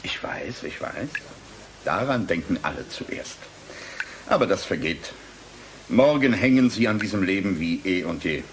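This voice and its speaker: A swooping, cynical, glib scientist. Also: male voice, deep voice, very animated, slight background noise. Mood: smug, condescending. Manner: brisk, dismissive, rhetorical questions. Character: glib, cynical male brain-bank scientist, condescending. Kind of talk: German radio drama acting.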